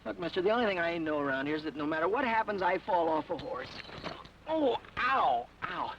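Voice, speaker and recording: High-voiced young greenhorn, rambly and jokey, dull old film sound with faint hiss. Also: male voice, very animated, brisk. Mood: dazed. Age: young adult. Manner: rambling, joking, laughs at himself. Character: chatty, hapless young greenhorn man. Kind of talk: 1950s western film dialogue.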